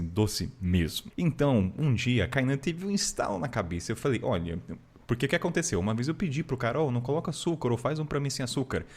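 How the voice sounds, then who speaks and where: A man's voice: mid-range voice, darkish tone. A man with a Brazilian accent hosting a casual podcast, telling stories in a playfully theatrical way. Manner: playfully theatrical, refers to himself in third person. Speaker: travel podcast host. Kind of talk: casual chat, animated storytelling.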